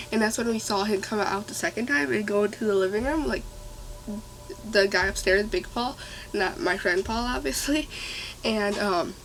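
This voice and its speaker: A young woman with a stuffy nose telling a scary story to her camera, rambling and excitable with lots of fillers. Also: on the high side, a little hiss or hum, low-quality recording. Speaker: vlogger. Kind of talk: casual storytime.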